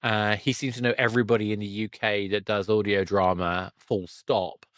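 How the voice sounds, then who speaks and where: A man hosting a conversational podcast interview, talking in a halting, wordy, enthusiastic way. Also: lowish voice, level tone, a bit bright, no room sound, clean studio sound. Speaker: podcast host.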